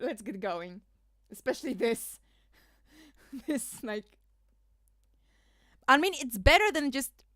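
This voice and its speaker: A woman's voice: medium pitch, fairly bright tone, dry recording, clean studio sound. A woman from Poland, rambling and laughing through casual livestream commentary, full of fillers. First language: Polish. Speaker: streamer.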